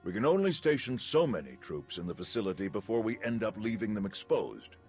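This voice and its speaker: An actor playing a commanding military general in a video game, authoritative and formal. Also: male voice, fairly low pitch, expressive, fairly quick, a little mellow, faint music behind. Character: commanding, hospitable army general.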